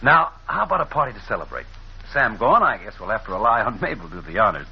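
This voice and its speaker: An actor playing a smooth-talking, theatrical gentleman smuggler in a muffled 1940s radio drama. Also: fairly low pitch, big pitch swings, fast, full character voice. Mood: hearty. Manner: theatrical, effusive. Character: smooth-talking gentleman smuggler, effusive, defensive when cornered. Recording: muffled, faintly noisy old radio recording.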